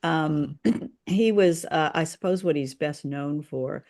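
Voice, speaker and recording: A podcast co-host in casual, unscripted discussion, with hesitant phrasing, gently steering the conversation. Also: medium pitch, takes it slowly, creaky.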